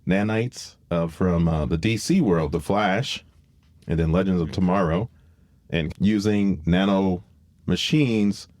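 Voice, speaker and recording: Low-voiced man hosting a casual podcast. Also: a little mellow, dry recording, studio quality. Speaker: podcast host, biologist. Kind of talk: casual explanatory podcast talk.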